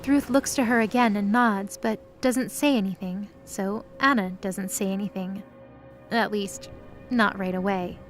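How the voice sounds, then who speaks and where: A woman's voice: medium pitch, unhurried, soft background music. A woman narrating a scripted present-tense mythology drama.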